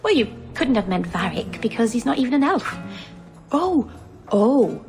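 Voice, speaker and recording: A woman's voice: fairly high pitch, very animated, moderate pace, darkish tone, soft background music. A woman playing a naive, sweet young elf in a video game, guileless and eager as she chatters. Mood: puzzled, eager. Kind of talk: dramatic video game dialogue.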